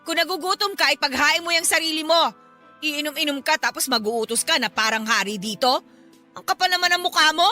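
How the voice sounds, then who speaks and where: A defiant Filipino wife with a very high, piercing voice. She scolds with heated, emphatic radio-melodrama emotion, over a music bed. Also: brisk, sharp tone. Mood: exasperated scolding. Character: fed-up, defiant wife and mother berating drunken husband.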